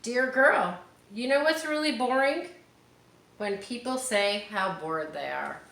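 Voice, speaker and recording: Lady teacher reading a kids book out loud, takes her time, expressive n encouraging, echoey room. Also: fairly high pitch, vocal fry. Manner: expressive, drawn-out emphasis on key words; encouraging, explaining to young listeners. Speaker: teacher reading a picture book aloud to children. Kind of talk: read-aloud storytelling with chatty asides. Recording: roomy echo with background noise.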